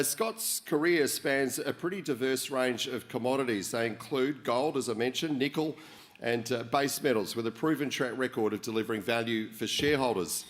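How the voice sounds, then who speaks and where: Man emceeing a dinner event. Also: mid-range voice. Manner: relaxed, frequent "uh" fillers. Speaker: event host and interviewer. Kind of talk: emcee introduction and stage interview. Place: function hall at a dinner event.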